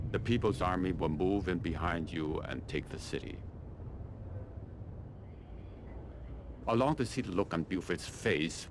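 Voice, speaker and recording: Casting: game colonel, low voice, imperious and calculating, formal delivery, noisy ambience behind. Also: male voice. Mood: calculating, smug. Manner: measured, imperious, formal, dryly condescending. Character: cold, calculating middle-aged Chinese army colonel, male. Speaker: military commander giving mission briefings. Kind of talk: video game mission briefing dialogue.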